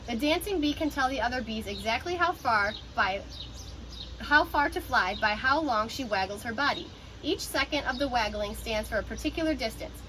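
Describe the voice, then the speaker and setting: Female teacher for children's read-aloud: higher-pitched, crisp and bright, instructive and expressive, slight background noise. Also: poor audio. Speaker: teacher reading a picture book aloud. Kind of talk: children's storybook read-aloud with explanatory asides.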